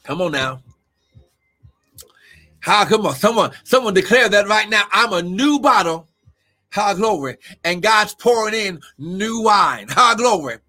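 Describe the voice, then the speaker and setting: A male preacher delivering a fervent sermon, shouting often and calling out to members of his congregation. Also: high-pitched, lively intonation, a little slow, soft background music. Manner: shouting, exclamatory, calls out congregation members by name. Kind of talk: Pentecostal-style sermon.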